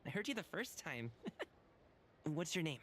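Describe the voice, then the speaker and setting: An actor voicing an earnest young male dancer in a video game, boyish, informal and expressive. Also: on the high side, a little slow, slight background noise. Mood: playful.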